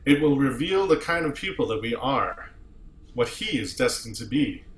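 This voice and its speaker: An eloquent, impassioned starship officer acted by a man pleads a case in a science fiction drama. His delivery is rhetorical and deliberate, in a mid-range voice. The room echoes noticeably around him. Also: medium pitch, sing-song, close to an everyday voice. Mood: impassioned, solemn. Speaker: amateur actor in a table read. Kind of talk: dramatic courtroom monologue.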